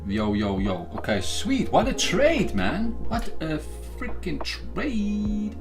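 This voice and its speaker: Excitable South African streamer chatting to viewers. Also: male voice, slow pace, over music. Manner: hypes himself and the chat, then drops into low joking asides. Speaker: day-trading livestream host. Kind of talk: casual live-stream chat.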